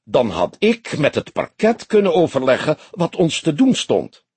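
A man's voice: fairly high pitch, wildly swooping pitch, measured pace, a little mellow, husky, no room sound, pitched above the performer's own voice, full character voice. A man voicing a stiff, affected, easily outraged police commissioner in an audiobook, stern and emphatic. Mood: stern, indignant. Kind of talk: audiobook character voice.

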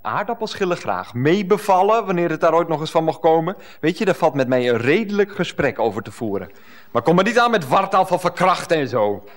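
An actor playing a fussy, reluctant lover who protests in flustered indignation, in a radio comedy play. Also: male voice, on the high side, sing-song, fairly quick. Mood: indignant.

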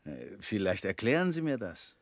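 An actor in a radio play playing a calm former prisoner who questions in a cool, probing, slightly ironic way. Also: male voice, fairly low pitch, normal speed, no room sound, studio quality.

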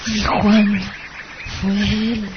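A woman's voice: mid-range voice, level tone. A woman playing a tormented, obsessed young wife with dramatic delivery in a lurid radio melodrama. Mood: horrified. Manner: slow, anguished, dramatic delivery. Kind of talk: amateur radio melodrama acting. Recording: muffled lo-fi radio, noisy background.

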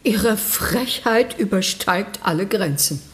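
An actress playing a brusque, sardonic senior official in a German radio play, conversational, impatient and sarcastic. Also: female voice, medium pitch, animated, takes it slowly. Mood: indignant, sarcastic. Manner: sarcastic, exasperated, distracted asides.

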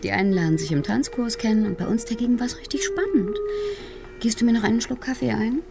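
An actress playing a cool, knowing, sardonic investigator in a naturalistic German radio crime drama. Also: female voice, a little high, fast talker, creaky, over loud music. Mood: teasing.

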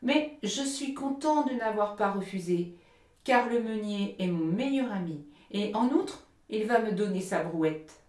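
A woman's voice: mid-range voice, expressive, measured pace, a little mellow, pitched above the performer's own voice, natural voice. A woman voicing little Hans, a humble, timid, good-natured man, in a storybook reading. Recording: roomy echo.

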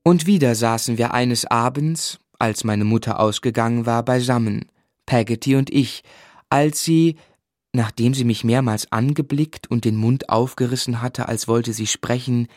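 A man playing a gentle narrator fondly recalling his boyhood in measured, literary radio drama narration. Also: mid-range voice, no room sound, studio quality, close to an everyday voice. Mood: fond, reflective. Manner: measured, reflective.

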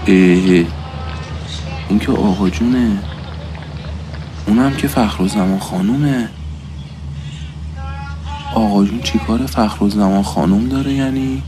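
A man's voice: fairly low pitch, long pauses, music in the background, natural voice. Man acting an eager, anxious young son. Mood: puzzled, then alarmed. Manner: colloquial, obedient, easily alarmed. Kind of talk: nostalgic 1960s-set radio drama acting.

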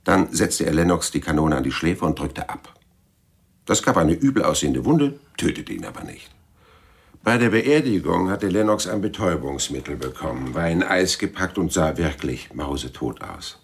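A man's voice: low-pitched, lively intonation, normal speed, breathy edge, full character voice. An actor playing a weary, cynical private detective who tells his own case dryly and laconically in a radio play. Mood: coolly accusing. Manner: dry, laconic. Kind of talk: radio play narration and dialogue.

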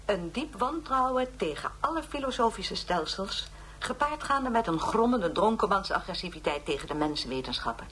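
An actress playing the didactic presenter of an educational programme in a radio drama, speaking formally. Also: female voice, medium pitch, fairly bright tone, slight background noise. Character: didactic female narrator of educational philosophy programme. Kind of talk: scripted lecture narration in radio drama.

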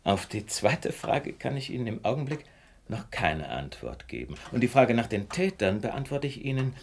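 An actor playing a brilliant, superior detective in a German radio play, articulate and lecturing in a theatrical way. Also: male voice, mid-range voice, sing-song, moderate pace, creaky. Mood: insistent, precise. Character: brilliant, self-assured male detective, precise and superior.

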